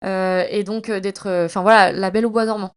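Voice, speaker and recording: A young woman chatting casually as a podcast host, in a slangy, effusive and emphatic way, often halting and stumbling over her words. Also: mid-range voice, steady tone, smooth. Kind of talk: casual, chatty monologue about reading.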